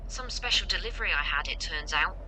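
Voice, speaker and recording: A woman's voice: fairly low pitch, lively intonation, fairly quick, pushed and bright, slight background noise, poor audio. A woman playing a plain-spoken 1940s delivery driver, telling her diary matter-of-factly in a radio play. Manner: matter-of-fact storytelling. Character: plain-spoken wartime delivery truck driver, 1941 diarist.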